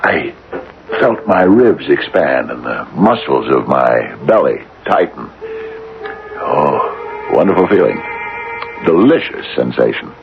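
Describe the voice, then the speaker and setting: An actor playing a nervous, mildly eccentric retired widower near sixty in a radio drama, hesitant and often repeating himself. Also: male voice, rumbling low, big pitch swings, slow pace, over music, exaggerated voice. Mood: savoring, delighted. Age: older. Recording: dull, noisy vintage radio recording.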